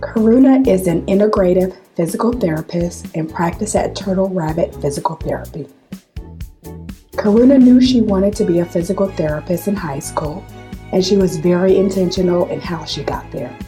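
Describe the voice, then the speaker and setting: A woman hosting a podcast interview, her voice deep and scratchy because she is hoarse with a cold. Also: moderate pace, mellow, faint music behind. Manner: reads her intro from a script, then asks short friendly questions. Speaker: podcast host.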